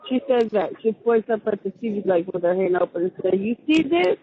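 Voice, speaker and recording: A woman's voice: medium pitch, expressive, a little fast. A woman chatting casually with her partner over the phone in simple, agreeable everyday talk. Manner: brief, agreeing replies. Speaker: inmate's partner on a phone call. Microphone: telephone. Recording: muffled, band-limited recorded phone line.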